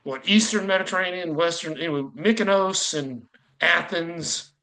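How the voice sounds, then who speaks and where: A man's voice: a little high, normal speed, sharp tone, low-quality recording. A man hosting a casual interview, chatty and informal, rambling a little as he talks with his guests. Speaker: podcast host interviewing guests.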